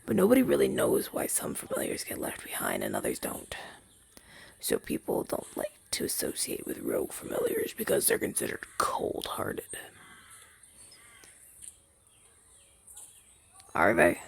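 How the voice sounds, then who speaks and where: Male actor for soft, hesitant demon familiar, ASMR roleplay. Wistful and gentle, very gravelly and husky. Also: high voice, wildly swooping pitch, full character voice. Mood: resigned. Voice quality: breathy, husky half-whisper. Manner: hesitant, soft-spoken, halting and conversational. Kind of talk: ASMR roleplay audio drama, softly spoken to listener.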